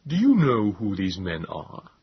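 A man voicing a slow, solemn male Morlock in a storybook reading, speaking in a measured, grave way. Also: fairly low pitch, wildly swooping pitch, husky, no echo, heavily acted. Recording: dull, dry lo-fi sound.

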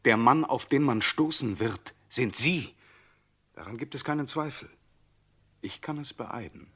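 An actor playing a calm, insinuating eyewitness in a crime radio drama, speaking in a measured, deliberate way. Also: male voice, medium pitch, breathy edge. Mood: grave, insinuating. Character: calm, insinuating male eyewitness Gachet confronting a suspect.

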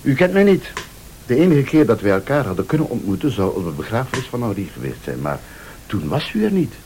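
An actor playing a mysterious, composed late-night visitor in a radio thriller, speaking formally and pointedly with quiet menace. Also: male voice, medium pitch, huge pitch swings, quick pace, a little hiss or hum. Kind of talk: Flemish radio thriller acting.

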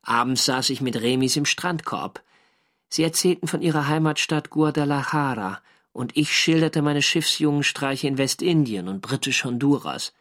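Young navy diarist played by a man, mid-pitched, measured literary radio drama narration. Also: a bit bright, natural voice. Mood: reflective. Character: young German navy sailor, diarist recounting war service. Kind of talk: scripted first-person radio drama narration.

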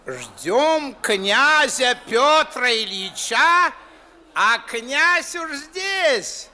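An actor playing a fussy, fawning elderly Moscow gentleman, bustling and repeating himself in classic 1950s stage style. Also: extremely high pitch, sing-song, drawn-out words, full character voice. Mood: fussy, anxious.

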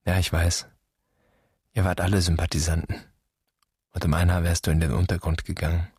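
A wounded son searching for an absent father in a literary radio drama. The delivery is solemn and poetic, in a very low, breathy-rough voice, close and dry. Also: little pitch movement, brisk, darkish tone, no echo, exaggerated voice. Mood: sardonic, resigned. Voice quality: husky.

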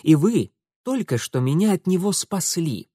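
A witty young gentleman sleuth talks in a mocking, sarcastic way at a raised pitch, as a male narrator plays him. The recording is dry and close. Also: big pitch swings, unhurried, slightly rough, no echo, full character voice.

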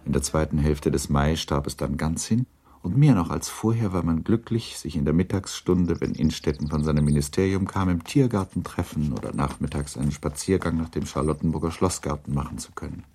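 A man's voice: rumbling low, a little fast, dark tone, husky, heavily acted. A man narrating a literary radio drama in a composed, scripted storytelling style.

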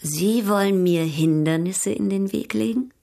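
An actress in a German radio drama playing a proud, worldly European baroness, poised, arch and coolly ironic. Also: female voice, medium pitch, a little slow, gravelly, dark tone, no room sound, clean studio sound. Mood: teasing.

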